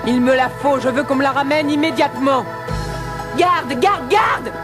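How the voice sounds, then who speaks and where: Woman playing a haughty scheming queen, high imperious voice, cartoon music behind. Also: moderate pace, close to an everyday voice. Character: scheming proud queen, middle-aged woman. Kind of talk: French cartoon dub.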